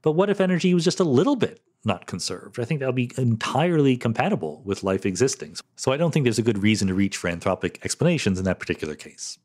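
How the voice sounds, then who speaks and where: A man's voice: mid-range voice, very animated, fast, hardly any pauses, a little mellow. A middle-aged man hosting a solo podcast, answering listener questions candidly with fillers like "um" and "you know". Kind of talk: solo podcast Q&A, thinking aloud.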